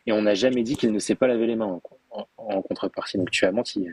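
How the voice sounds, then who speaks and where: Fast-talking husky man pressing for sources. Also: fairly low pitch, very animated, darkish tone. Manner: insistent, interrupting, demands sources. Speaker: debater. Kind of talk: heated online debate.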